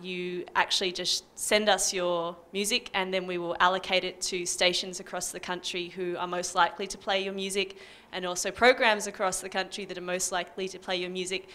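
A woman's voice: on the low side, pushed and bright. A young Australian woman explaining things informally as a panel speaker, on a handheld microphone. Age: young adult. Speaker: panel speaker from a community radio music service. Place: indoor music venue, small stage panel.

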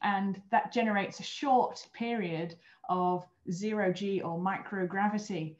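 A woman's voice: mid-range voice, fairly even intonation, unhurried, darkish tone. A European woman in her thirties talks about her work as a guest on a video call interview. She explains things earnestly, restarting a phrase now and then. The sound is muffled and lo-fi, with a roomy echo around her voice. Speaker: guest, former astronaut trainer. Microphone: webcam on video call.

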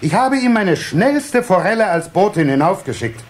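A man's voice: sits high, sing-song, normal speed, a little hiss or hum. An actor playing a proud, hospitable water-sprite father in a children's audio play, hearty and ceremonious.

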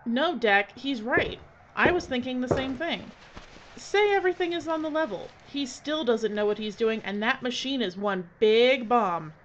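A woman's voice: a little high, expressive, moderate pace, close to an everyday voice. Muffled, noisy audio, actress as a bossy woman filmmaker. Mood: firm, reasoning. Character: Lily, decisive level-headed woman filmmaker taking charge. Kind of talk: audio drama acting. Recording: dull lo-fi audio with clear noise.

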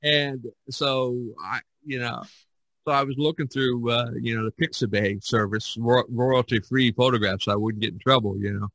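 Bright-voiced man chatting on a podcast. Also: mid-range voice, fairly even intonation, normal speed, rough recording. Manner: rambling, jokey. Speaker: podcast host. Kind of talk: casual chat about painting.

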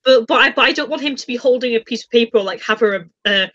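A young woman speaks in a fairly high voice. She rambles informally through her opinions, doubling back on phrases. The sound is dry and a little dull. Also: fast talker, fairly bright tone, no room sound. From northern Britain. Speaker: podcast co-host. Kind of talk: casual opinionated chat.

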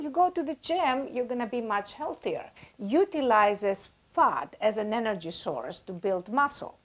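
Animated woman in her sixties explaining. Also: mid-range voice. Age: 60s. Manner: animated, gesturing, uses everyday comparisons. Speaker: guest expert. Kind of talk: explanatory interview talk. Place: small indoor office. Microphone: lapel mic.

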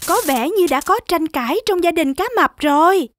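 A woman's voice: very high voice, animated, moderate pace, slight background noise. An actress voicing a sweet mother shark who guides young viewers in a Vietnamese children's cartoon. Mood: concerned.

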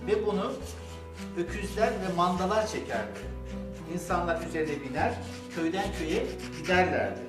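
A man's voice: sits high, animated, moderate pace. An older man, a grandfather guiding children through crafts step by step with endearments and simple questions. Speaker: grandfather hosting a children's toy-making show. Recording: roomy echo with music. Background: music.

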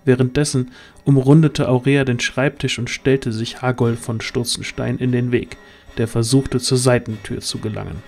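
A man narrates a scripted fantasy audio drama. His pitch sits in the middle range, and music plays faintly behind him. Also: steady tone, normal speed, darkish tone.

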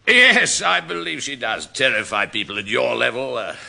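Pompous, creaky, blustering British boss. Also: male voice, medium pitch, very animated, moderate pace, bright, forceful tone, exaggerated voice. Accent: British English. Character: pompous male senior official, bossy below, cowardly above. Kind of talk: 1960s radio situation comedy acting.

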